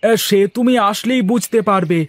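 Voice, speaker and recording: A man's voice: high voice, normal speed, dry recording. A man voicing a cunning, pushy village trickster in a cartoon moral story. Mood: threatening.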